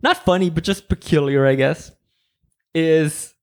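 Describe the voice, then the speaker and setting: A man's voice: on the high side, a little slow. Rambling young man, casual chat. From Mexico. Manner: rambling, heavy use of "like", animated quoted voices. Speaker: podcast host.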